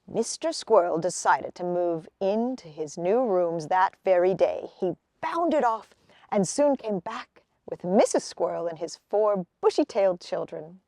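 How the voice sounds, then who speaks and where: A woman reading a children's picture book aloud as a storyteller, with expressive, deliberate phrasing. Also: fairly low pitch, dry recording, clean studio sound.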